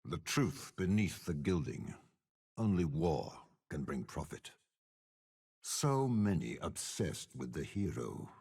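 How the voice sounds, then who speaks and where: An actor playing a commanding, menacing, scheming old warlord general in a video game, with a gravelly, husky voice and slow, disdainful delivery. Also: male voice, rumbling low, sing-song, a little mellow, heavily acted. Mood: cold, ominous. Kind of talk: dramatic game character voice lines.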